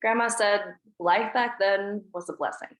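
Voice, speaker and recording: A woman's voice: medium pitch, fairly even intonation, a little slow. A woman reading reflective voice-over narration at a table read. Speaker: narrator as the grown daughter. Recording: echoey room.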